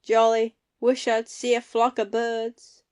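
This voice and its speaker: Ailing rural husband played by a woman, easygoing and gently grumbling, folksy country talk, highish voice, audio play. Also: completely flat delivery, slow, clean tone. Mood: matter-of-fact. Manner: folksy rustic dialect phrasing. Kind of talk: amateur audio play acting.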